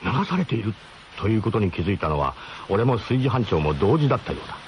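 Animated male radio drama narrator. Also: on the low side, fast, a little hiss or hum. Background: music.

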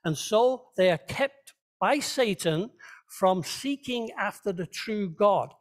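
A man's voice: high voice, lively intonation, takes it slowly. A man preaching a sermon as a pastor, teaching conversationally and checking in with his listeners. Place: church, speaking to a congregation.

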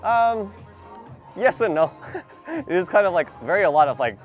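A man's voice: high-pitched, big pitch swings, moderate pace. Hesitant young man chatting in a mall store. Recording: slightly dull audio with faint music.

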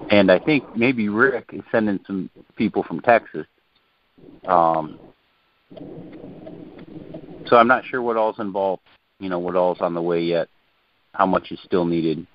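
A male caller from Ohio talking casually and haltingly over the phone. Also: deep voice. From Ohio, United States. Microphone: telephone. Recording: muffled noisy phone line.

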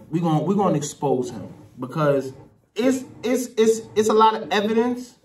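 A man's voice: medium pitch, darkish tone. A young American man giving slangy, informal gossip commentary to camera, peppered with conversational tags. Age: young adult. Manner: slangy, frequent "you know what I'm saying" tags. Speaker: YouTube commentator. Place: small bare room indoors. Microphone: desk condenser mic with pop filter. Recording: roomy echo, distant from mic.

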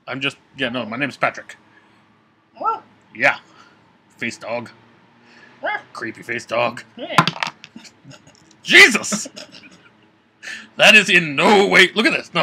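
Man performing a nervous puppet character, raspy, swinging wildly in pitch, slow rambling stammer, excitable, improvised puppet comedy. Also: a little hiss or hum, heavily acted. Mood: creeped out, then agitated. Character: nervous, excitable down-on-his-luck male puppet begging to be punched.

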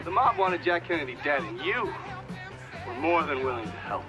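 An actor playing a brash, bitter American intelligence veteran in a video game, testifying with profane defiance and emphatic storytelling. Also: male voice, on the high side, expressive, moderate pace, over music, lightly acted. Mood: accusing. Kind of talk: dramatic video game cutscene acting.

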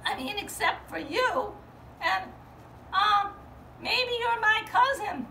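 A woman's voice: extremely high pitch, lively intonation, slow pace, gravelly, pushed and bright, pitched above the performer's own voice, heavily acted. A woman voicing a timid little sheep girl who bleats and hesitates with little ums. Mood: shy. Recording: roomy, from far off, faint hum.

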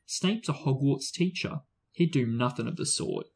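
A man reading aloud, voicing a big, gruff, stubborn male gamekeeper who clips his words. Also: mid-range voice, expressive, moderate pace. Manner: gruff, dropped endings like "ya".

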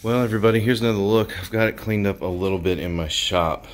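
A man's voice: on the low side, fast. An American man giving casual, folksy vlog commentary as the host of his own video. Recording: clear background noise.

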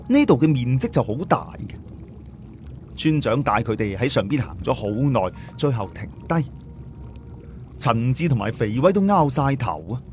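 A man with a Cantonese accent narrating an audiobook story in a colloquial way, with conversational asides. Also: mid-range voice.

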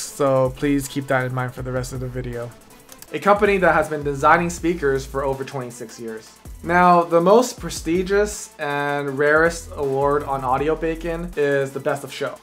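A man's voice: on the high side, fairly bright tone. Man reviewing gear over background music. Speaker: audio reviewer and video host. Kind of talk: scripted review to camera. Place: small dark indoor studio, seated.

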